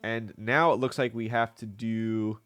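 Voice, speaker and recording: A man's voice: fairly low pitch, fairly even intonation, a little slow, fairly bright tone. A man hosting a casual live stream, talking with viewers while he builds something. He sounds congested with a stuffy nose, and he is chatty and laughs often.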